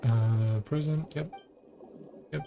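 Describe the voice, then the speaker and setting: Male streamer, mid-pitched with vocal fry, thinking aloud in loose, casual gameplay commentary. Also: slow. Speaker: video game streamer. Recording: game music behind the voice. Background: video game music.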